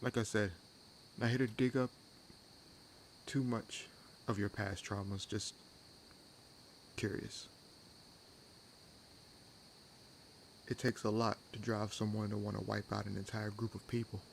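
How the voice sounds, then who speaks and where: Over a soft bed of night-forest insects comes a low, gravelly male vampire acted by a man. He is wry and world-weary, needling his companion with sardonic teasing. The delivery is intimate and unhurried, in the manner of an audio roleplay. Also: little pitch movement, slight background noise. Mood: guarded, probing. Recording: nature ambience laid under voice. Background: forest ambience with crickets and insects.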